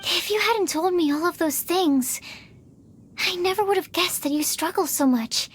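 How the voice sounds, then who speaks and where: An actress playing a shy, earnest, bookish young woman alchemist in a video game, speaking hesitantly and awkwardly. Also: extremely high pitch. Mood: earnest, reassuring. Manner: hesitant, soft-hearted, halting phrasing.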